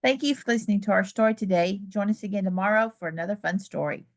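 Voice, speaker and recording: A woman's voice: mid-range voice, quick pace. Woman welcoming listeners to a story reading. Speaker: library director, read-aloud host. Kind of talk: scripted welcome to a children's read-aloud.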